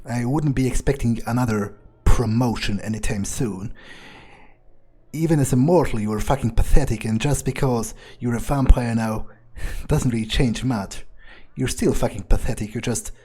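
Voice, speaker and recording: A man role-playing an arrogant, disdainful vampire in a gameplay video, musing in a husky voice with halting, foul-mouthed phrasing. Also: medium pitch, dark tone, lightly acted. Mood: contemptuous. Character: arrogant, contemptuous male vampire musing to himself. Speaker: gamer role-playing in character. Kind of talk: in-character gameplay monologue, improvised. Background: music.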